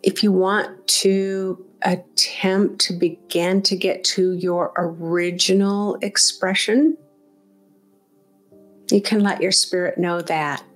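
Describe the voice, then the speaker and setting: Woman doing guided meditation, really slow n gentle, lowish voice, chatty and encouraging, music behind. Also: fairly even intonation. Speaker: meditation teacher.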